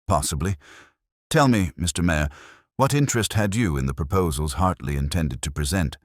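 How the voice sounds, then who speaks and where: Man playing a gentle, very deep-voiced police inspector. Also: no echo, clean studio sound. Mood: calm. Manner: gentle, measured, even. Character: tranquil, shrewd, kindly male police inspector.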